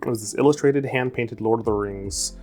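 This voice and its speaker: A man's voice: mid-range voice, brisk, darkish tone, soft background music. A man, an author hosting his own channel, talking earnestly and reflectively in a solo vlog. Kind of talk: solo vlog monologue to camera.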